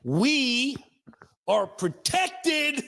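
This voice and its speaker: A man hosting a casual talk show, sarcastic and animated, now and then slipping into mocking voices. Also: extremely high pitch, extremely slow, creaky. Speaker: podcast host.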